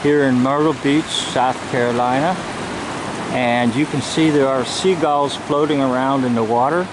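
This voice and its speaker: A vlogger telling a story to camera in a deliberate, emphatic, preachy way, with pauses between short phrases, outdoors on a handheld camera. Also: medium pitch, fairly even intonation. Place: outdoors at a seashore. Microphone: handheld camera microphone. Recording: loud surf and wind-like noise. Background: ocean waves.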